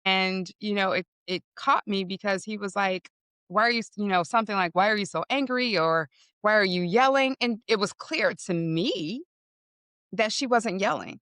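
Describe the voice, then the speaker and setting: A woman guest with a deeper voice, explaining in a measured way and often checking with "right?". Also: fairly bright tone, no echo. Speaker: podcast guest. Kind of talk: casual interview conversation.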